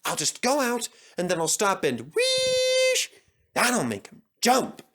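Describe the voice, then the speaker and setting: A man's voice: extremely high pitch, huge pitch swings, slow pace, a bit bright, pitched above the performer's own voice, exaggerated voice. A man voicing a cheeky, overconfident young male steam engine in a children's storybook, easily flustered. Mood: excited, boastful. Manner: stammers when nervous.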